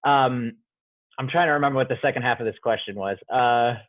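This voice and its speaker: A man's voice: medium pitch, fairly quick, no echo. Man speaking over a tinny call line. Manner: thoughtful, searching for words, frequent restarts. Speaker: playwright. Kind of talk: interview answers on a call. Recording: dry tinny phone-like audio.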